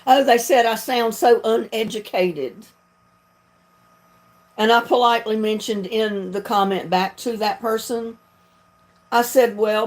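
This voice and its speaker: A woman from North Carolina with a Southern accent, chatting casually and folksily as she hosts a livestream. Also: a little high. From North Carolina, United States. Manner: folksy, chatty, laughs often. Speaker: video creator hosting a livestream.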